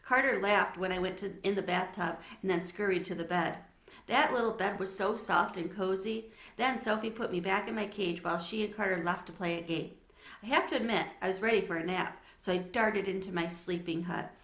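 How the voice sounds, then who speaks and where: A woman reads a children's story aloud in an expressive, teacherly way, turning to address her listeners. Her voice is bright and forward, and the untreated room adds clear echo. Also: medium pitch, fairly quick.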